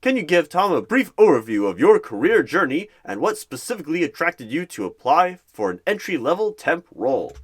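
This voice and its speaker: Man playing an eager, awkward recruiter, swooping pitch. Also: very animated, brisk, hardly any pauses, husky. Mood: formal, probing. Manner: theatrical, announcer-like bursts, stilted third-person self-narration. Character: eager, awkward male recruiter narrating himself in third person. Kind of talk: ASMR roleplay of a job interview.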